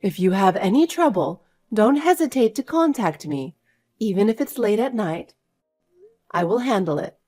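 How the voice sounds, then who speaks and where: A woman voicing a caring but firm older grandmother, earnest and measured, in an acted family story. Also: medium pitch, expressive, darkish tone, close to an everyday voice. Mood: worried, caring.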